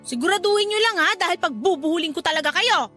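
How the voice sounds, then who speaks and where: Shrill, scolding strict mother. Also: animated, quick pace, pushed and bright, soft background music, full character voice. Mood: stern, scolding. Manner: scolding, nagging, threatening. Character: strict, hot-tempered Filipino mother and market vendor. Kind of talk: radio drama acting.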